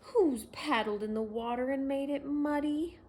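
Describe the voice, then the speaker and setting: A woman's voice: sits high, unhurried, a little mellow, a little hiss or hum, voice pushed up. A woman voicing a sleepy, grumbling male farm pig in a children's storybook. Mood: grumbling, indignant. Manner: grumbly, indignant.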